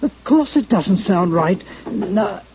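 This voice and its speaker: A man's voice: high-pitched, lively intonation, slow, breathy edge, exaggerated voice. A man playing an indignant, snobbish violin maker in an old-time radio drama, with a pompous, fussy, stammering manner. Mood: flustered, exasperated. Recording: dull old radio recording.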